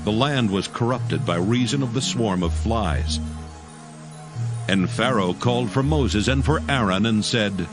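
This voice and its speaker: Low-voiced male scripture narrator over music. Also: animated, a little slow. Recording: music behind the voice.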